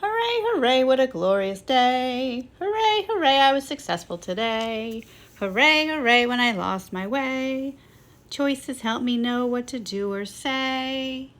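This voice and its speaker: A woman's voice: high voice, very animated, normal speed, a bit bright, pitched above the performer's own voice, exaggerated voice. A woman voicing a cheerful little schoolboy from a picture book in a bouncy, singsong manner. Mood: joyful singing. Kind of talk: children's picture-book character voice.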